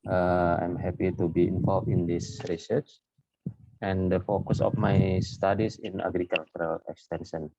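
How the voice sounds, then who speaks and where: A graduate student from Indonesia introduces himself over a video call, apologetic and halting. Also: male voice, low-pitched, little pitch movement, gravelly, dark tone. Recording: dull dry call audio.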